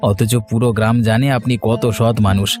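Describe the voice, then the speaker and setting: A man's voice: on the low side, fairly even intonation, moderate pace, darkish tone, faint music behind, lightly acted. A man playing a sly, scheming, self-righteous villager in a cartoon story, with an insinuating manner. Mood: insinuating, indignant. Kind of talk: dramatic cartoon story dialogue.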